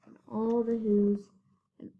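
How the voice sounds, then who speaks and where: A female reader giving an animated children's picture book read-aloud in rhyme. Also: fairly high pitch, slow, narrow, tinny audio, slight background noise. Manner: animated rhyming delivery with whispered asides. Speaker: story reader.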